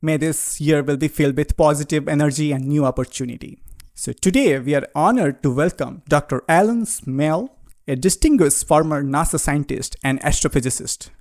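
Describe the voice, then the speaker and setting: Polite, formal podcast host, dry sound. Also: male voice, fairly high pitch, moderate pace, darkish tone, no room sound. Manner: formal, polite, addresses guest as sir. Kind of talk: scripted intro then interview questions.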